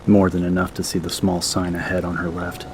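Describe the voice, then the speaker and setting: A man's voice: low-pitched, monotone, brisk, heavy background noise. A man narrating an audiobook from a script in a dramatic story style.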